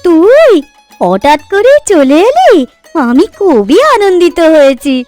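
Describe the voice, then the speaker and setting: Lady doing super high sing-song village mom for a fairy tale cartoon, fussy n loving, music behind. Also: huge pitch swings, takes it slowly, a little mellow, full character voice. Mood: doting, fussing. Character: affectionate, fussing village mother and wife in a fairy tale. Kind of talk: Bengali cartoon voice acting. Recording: background music under the voice.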